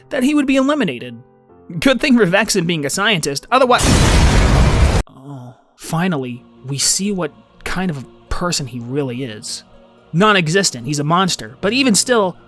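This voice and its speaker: A man's voice: fairly high pitch, fairly bright tone. A man narrating an informal scripted fan video essay about a game, with emphatic, swinging phrasing.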